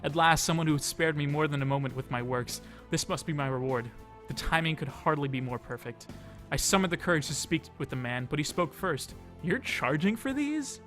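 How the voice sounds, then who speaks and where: A man with a fairly high voice narrates a visual novel for a playthrough video. He reads the text out like a dramatized story and slips into offhand comments. Light game music plays behind him. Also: a little fast, a bit bright. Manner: dramatized storytelling with casual asides. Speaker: let's play video host reading the game text aloud. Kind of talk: expressive read-aloud narration of a visual novel.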